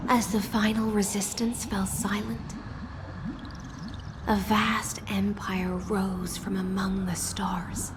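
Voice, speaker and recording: A woman voicing a poised, world-weary storyteller in a video game, theatrical and measured, with hushed phrase endings, over music. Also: medium pitch, little pitch movement, background noise, natural voice. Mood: grand, solemn. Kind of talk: dramatic video game narration and monologue.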